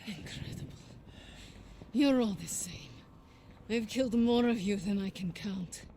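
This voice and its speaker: An actress playing a vengeful, defiant Soviet sniper, speaking with deliberate contempt in a game. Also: female voice, mid-range voice, dark tone, slight background noise, natural voice. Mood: cold menace.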